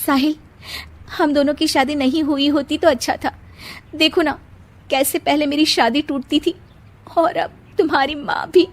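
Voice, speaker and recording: Young cartoon bride, acted by a woman: high, plaintive, resigned and fretful. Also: fairly even intonation, moderate pace, natural voice. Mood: fretful, guilty. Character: sad, resigned, self-blaming young bride. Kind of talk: Hindi moral-story cartoon dubbing.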